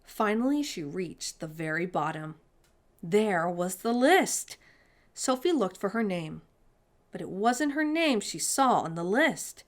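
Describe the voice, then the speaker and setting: A woman reading a children's picture book aloud in an expressive storytime narration style. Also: mid-range voice, takes it slowly.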